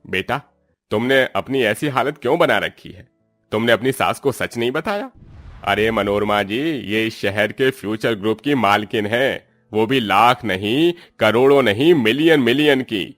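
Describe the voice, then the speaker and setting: A man's voice: medium pitch, unhurried, fairly bright tone, no echo, faint music behind, close to an everyday voice. Man playing a respectful, emphatic cartoon accountant. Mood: puzzled, emphatic. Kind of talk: Hindi cartoon morality story acting.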